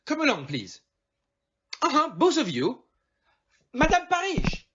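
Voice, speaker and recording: An amateur performer's take on a famous fictional detective for an audio play, shrewd and courtly. The delivery is theatrical and extremely animated, dotted with French phrases and questioning tags. The sound is slightly dull and lo-fi. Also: high-pitched, unhurried, background noise, full character voice. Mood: courteous, assured.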